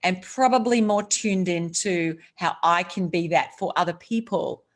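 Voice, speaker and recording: A woman's voice: lowish voice, unhurried. A middle-aged woman with an Australian accent, speaking earnestly and reflectively as an author guest over a video call, often restarting her sentences. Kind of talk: casual interview chat.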